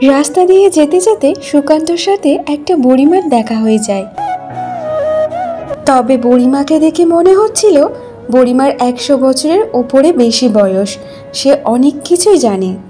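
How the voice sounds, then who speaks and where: A woman's voice: high-pitched, animated, unhurried, over music. A woman narrating a scripted children's folk tale as a storyteller.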